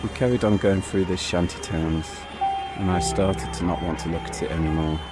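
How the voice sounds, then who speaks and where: A man with a very low voice narrates a personal travel story like an audio drama. He builds suspense in short, measured phrases. Clear music plays underneath him. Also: fairly even intonation. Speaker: storyteller and podcast host.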